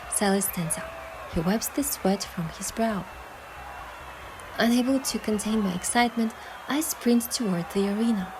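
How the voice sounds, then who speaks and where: A woman voicing an excitable, playful young heroine in love, in a romance game with first-person storytelling. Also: medium pitch, noisy background, lightly acted. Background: music.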